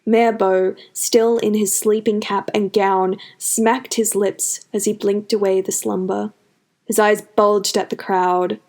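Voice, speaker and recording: Expressive female story narrator. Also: medium pitch, slow. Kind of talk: scripted fan fiction narration.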